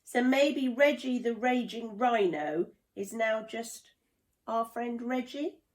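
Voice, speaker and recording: A woman voicing a loyal, appreciative animal friend in a children's storybook, earnest and deliberate. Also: on the high side, voice pushed up.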